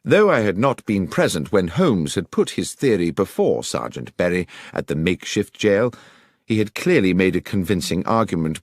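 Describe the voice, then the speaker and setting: A man reading dramatic scripted narration as an audiobook, in a measured, expressive storytelling manner. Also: sits low, no echo, studio quality.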